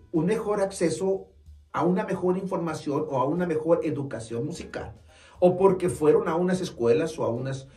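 A man's voice: on the high side, darkish tone. A man in his forties with a Mexican Spanish accent, earnest and friendly, explaining things to camera with small hesitations. His voice comes from a distance through the camera mic, and the room is roomy and echoing. Faint music plays in the background. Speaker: saxophone teacher and music store owner. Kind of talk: informal instructional talk to camera. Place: small indoor studio corner in a shop. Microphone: far camera mic.

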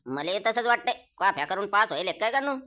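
A man's voice: high voice, lively intonation, fairly quick, sharp tone. A man with a rural Maharashtrian accent voicing a skeptical village uncle in a comic cartoon, blunt and scoffing in folksy speech. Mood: scornful.

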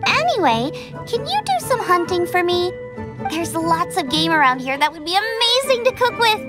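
Bubbly teen girl chef for a game: very high, swooping delivery, music underneath. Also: normal speed. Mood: coaxing. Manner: bubbly, eager, expressive. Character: cheerful, excitable teenage girl chef. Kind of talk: video game character acting.

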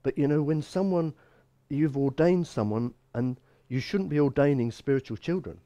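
A man's voice: a little high, moderate pace, warm tone, dry recording. A man in his sixties giving an expository Bible teaching sermon as a pastor, emphatic and given to repeating phrases. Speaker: bishop and pastor. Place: small church room, indoors.

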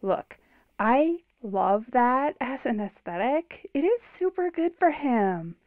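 A woman's voice: high voice, expressive, slow pace, dry recording. A woman playing a breezy, sardonic young girlfriend in an audio drama, teasing, through a phone filter. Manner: drawling, teasing. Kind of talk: modern audio drama acting. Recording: tinny narrow phone line.

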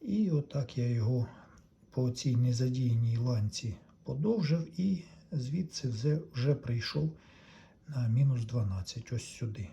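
A man's voice: mid-range voice, lively intonation, measured pace, dark tone, dull audio. Man narrating a repair over noisy audio. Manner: plainspoken, step-by-step explaining to viewers. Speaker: electronics repair vlogger. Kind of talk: casual narrated repair demonstration. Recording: clearly noisy home-made video recording.

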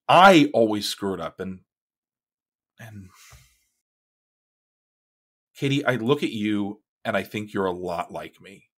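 A man playing a weary, wry superhero archer who is gruffly protective, in a halting, understated way. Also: mid-range voice, fairly bright tone, heavily acted. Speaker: voice actor in a fan motion comic. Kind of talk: dramatic comic-book voice acting with narration.

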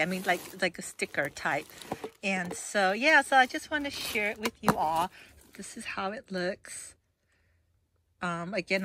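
A woman vlogging casually at home, with a hoarse voice, rambling through an unboxing with lots of fillers and restarts. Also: lowish voice, bright, forceful tone, slight background noise.

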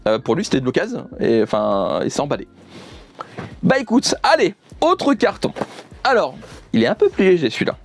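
A man's voice: fairly high pitch, wildly swooping pitch, moderate pace, soft background music. A young man chatting off the cuff as an enthusiastic vlogger, often repeating himself. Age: young adult. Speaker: YouTuber presenting a manga unboxing. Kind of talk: casual unscripted vlog chat. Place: indoor room with manga shelves.

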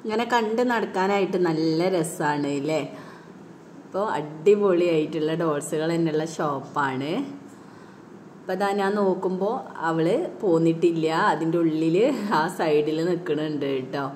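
A mother with a Kerala accent chatting casually while filming a walk through a shopping mall on her phone, often ending sentences with a soft tag word. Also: low-pitched, moderate pace, a little hiss or hum. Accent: Malayalam, Kerala. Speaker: vlogger, mother touring a mall with her daughter. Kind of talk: casual walk-around vlog narration. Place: large indoor shopping mall. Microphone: phone camera held while walking.

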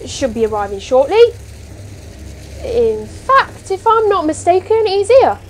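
Woman playing a gravelly, high-voiced station master. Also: sing-song, measured pace, slight background noise, heavily acted. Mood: helpful. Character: male station master, officious but helpful. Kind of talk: 1930s-set radio play acting.

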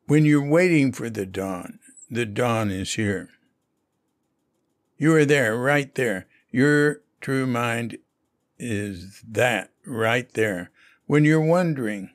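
A man reading a spiritual lecture aloud in a measured way. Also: medium pitch, no room sound, clean studio sound. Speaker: reader of a Zen lecture.

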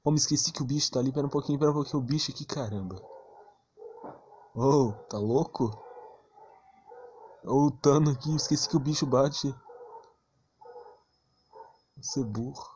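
A man's voice: medium pitch, normal speed, pauses often, a little mellow, muffled recording, a little hiss or hum. A man with a Brazilian accent giving casual, slangy, blunt gaming commentary with mild cursing. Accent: Brazilian Portuguese. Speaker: gameplay streamer.

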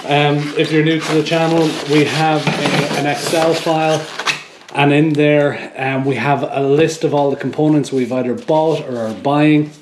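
A man in his thirties chatting casually as co-host of a motorcycle build video, relaxed and joking, recorded in a home garage. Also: on the high side, unhurried. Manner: joking, relaxed, laughs often. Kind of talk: casual workshop chat. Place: home garage workshop, indoors. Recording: echoey garage with faint noise.

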